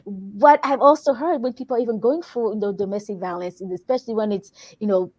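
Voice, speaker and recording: Mellow-voiced woman from Congo. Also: medium pitch, fast. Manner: earnest, reflective, repeats and restarts phrases. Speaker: guest, workplace wellbeing consultant. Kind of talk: conversational interview answer.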